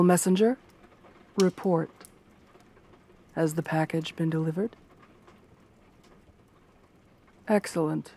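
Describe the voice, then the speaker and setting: A woman playing a stern, scheming royal guard captain in a fantasy roleplay, formal, measured and commanding. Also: lowish voice, warm tone. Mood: smooth, formal. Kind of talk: scripted fantasy ASMR roleplay.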